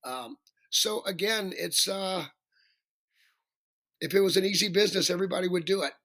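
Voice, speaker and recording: A male singer with a raspy voice, chatting as a podcast guest, rambling and self-deprecating with many restarts. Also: high voice, moderate pace, a bit bright. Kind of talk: casual interview chat.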